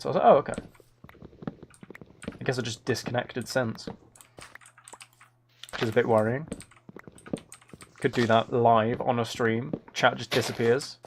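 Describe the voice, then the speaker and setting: A man chatting casually to viewers as a live game streamer, with a dry, joking, mock-serious manner. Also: medium pitch. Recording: clear noise, keyboard and mouse clicks.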